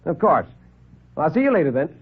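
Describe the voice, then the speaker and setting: A man's voice: on the high side, very animated, fast talker, breathy edge, pitched above the performer's own voice. A man playing a genial, chatty military medical officer in an old radio drama, affable in manner. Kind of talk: 1950s radio horror acting. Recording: tinny vintage radio recording.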